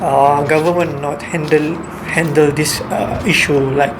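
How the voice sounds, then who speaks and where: A man's voice: a little high, little pitch movement, background noise. A young man co-hosting a casual chat, speaking hesitant, broken English and laughing easily. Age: young adult. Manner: halting non-native English, laughs easily.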